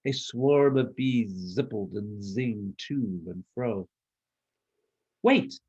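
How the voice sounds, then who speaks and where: A man narrating a children's storybook as its author, with measured, expressive delivery. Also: fairly low pitch, dull audio. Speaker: author reading own children's book.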